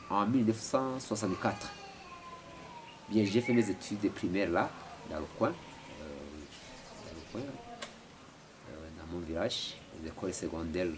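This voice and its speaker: A man in his forties from Rwanda, answering interview questions at home with many hesitations and repeated words. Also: low-pitched. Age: 40s. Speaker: interviewee, philosopher. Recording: noisy home recording.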